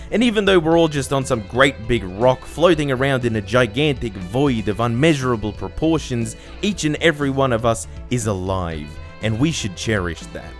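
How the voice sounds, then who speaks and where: A man narrating a scripted video game review in an enthusiastic, casually sweary manner. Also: mid-range voice. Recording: voice-over with background music. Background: music under the voice.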